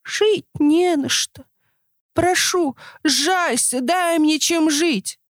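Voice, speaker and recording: A woman's voice: high-pitched, drawn-out words, dry recording, clean studio sound, pitched above the performer's own voice. A woman voicing a lazy, foolish, boastful man in a storybook folk tale. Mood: pleading.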